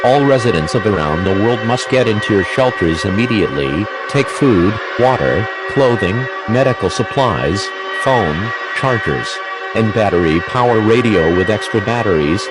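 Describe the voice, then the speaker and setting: A man's voice: on the low side, expressive, moderate pace, very noisy, natural voice. A man playing a grave emergency alert announcer, reading scripted official warnings in a formal, stiff way. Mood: grave, urgent.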